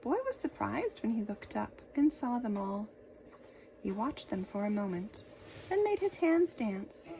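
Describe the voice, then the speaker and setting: A woman reading a children's picture book aloud in an expressive, dramatic storytelling manner. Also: a little high, unhurried, a little hiss or hum, low-quality recording.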